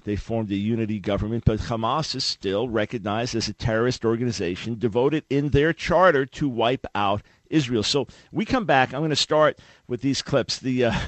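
A man's voice: medium pitch, no room sound. A man hosting a talk radio show, speaking emphatically in rhetorical bursts.

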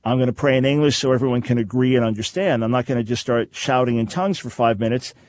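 A man hosts a call-in radio program from a studio, his voice at an ordinary middle pitch. He talks callers through his answers in a measured, explanatory way, repeating key phrases for emphasis. The recording is close and dry. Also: no room sound. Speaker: radio talk show host. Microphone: studio microphone.

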